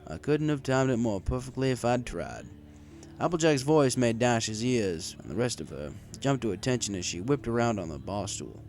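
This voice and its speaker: A man's voice: mid-range voice, sing-song, normal speed, a little mellow, faint music behind. A man reading a first-person story in a wry, conversational way. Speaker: story reader. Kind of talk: first-person story narration with acted dialogue.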